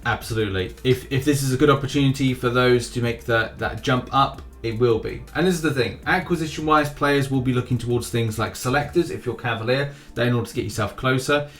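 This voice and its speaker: A man's voice: mid-range voice, a little fast, few pauses, a bit bright. A man hosting a gaming news video with casual commentary, rambling a little and repeating phrases for emphasis. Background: background music.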